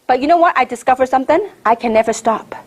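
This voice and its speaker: A middle-aged woman from Hong Kong with a high-pitched voice, delivering a motivational sales training talk. She drives each point home with repetition and emphasis, and often puts rhetorical questions to her listeners. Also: fast. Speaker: network marketing trainer giving a seminar.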